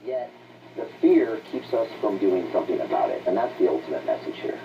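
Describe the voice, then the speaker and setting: A man's voice: on the low side, animated, fairly quick. A man talking as an interview guest, earnest and emphatic, repeating phrases for weight, heard on muffled playback. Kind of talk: impassioned interview talk. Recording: distant, muffled, noisy playback through a speaker.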